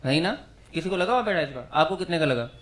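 High-voiced man roasting a comedy crowd. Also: animated, fairly bright tone, a little hiss or hum. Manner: teasing, quick banter with audience. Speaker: stand-up comedian. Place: comedy club stage with a live audience. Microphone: handheld mic.

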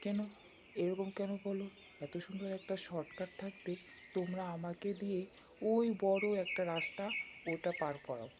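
A woman's voice: medium pitch, fairly even intonation, normal speed, a little mellow, slight background noise, lightly acted. A woman playing a stubborn, fearless young grandson in a folk-tale cartoon, insistent and argumentative. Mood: defiant.

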